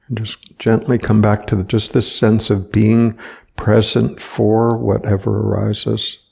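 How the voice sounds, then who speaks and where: Slow, gravelly man guiding meditation. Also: lowish voice. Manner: gentle, unhurried, with long pauses. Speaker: meditation teacher. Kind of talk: guided meditation.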